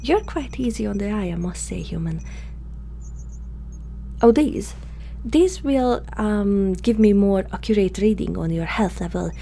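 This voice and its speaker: A woman playing a curious, awkward alien scientist in human form, speaking hesitantly and softly to the listener in an ASMR roleplay. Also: medium pitch, lively intonation, creaky. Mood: curious, admiring, then matter-of-fact, awkward. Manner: hesitant, halting, softly spoken, sometimes whispering. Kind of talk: ASMR roleplay, one-sided dialogue to the listener. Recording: faint background hum and noise.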